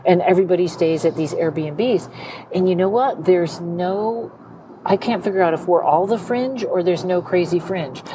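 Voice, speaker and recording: A woman hosting a podcast, chatting in a loose, conversational way with quick asides. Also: fairly low pitch, background noise. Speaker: podcast host.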